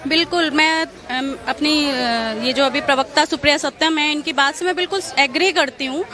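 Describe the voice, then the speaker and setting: A woman's voice: sits high, normal speed. A woman answering agreeably and a little hesitantly in an impromptu interview. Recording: outdoors with crowd and traffic.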